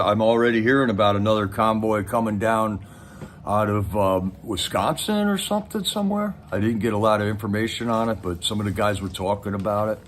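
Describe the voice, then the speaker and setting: A man's voice: on the low side, a little hiss or hum. A man hosting a livestream with casual, rambling, folksy commentary, speaking straight to his viewers. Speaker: livestream host.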